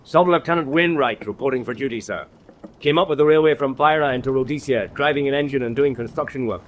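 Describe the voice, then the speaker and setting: Fast-talking sub-lieutenant played by a man. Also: mid-range voice, natural voice. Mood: businesslike. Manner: clipped military reporting. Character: businesslike British sub-lieutenant, farmer and engineer. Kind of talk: radio drama acting.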